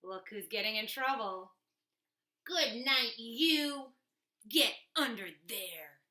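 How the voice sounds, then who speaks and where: Female primary teacher for picture-book read-aloud; unhurried, animated pitch, encouraging, in an echoey room. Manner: expressive, encouraging, asks the listener questions. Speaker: elementary school teacher.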